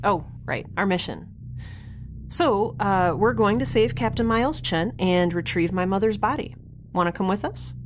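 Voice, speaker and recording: An actress playing a curious young scientist in an audio drama, chatty, awkward and earnest in a naturalistic way. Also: female voice, mid-range voice, expressive, normal speed, slight background noise, natural voice. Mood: friendly, earnest. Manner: chatty, awkward, earnest, rambles when nervous. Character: curious, nerdy, rambling young female scientist heroine.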